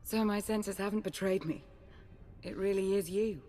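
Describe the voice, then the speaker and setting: An actress playing a cool, shrewd, formal intelligence minister in a game cutscene, speaking with measured poise. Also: female voice, steady tone, warm tone, faint music behind, lightly acted. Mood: cool, composed. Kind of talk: dramatic game cutscene acting. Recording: long reverb, faint rumbling noise.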